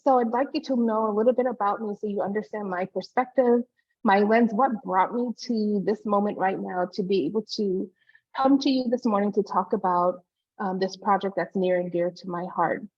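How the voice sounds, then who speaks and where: A woman's voice: medium pitch, moderate pace, a little mellow, highs cut off. A woman in her forties from Hawaii giving a personal conference talk over earbuds on a video call, with frequent fillers. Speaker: guest speaker.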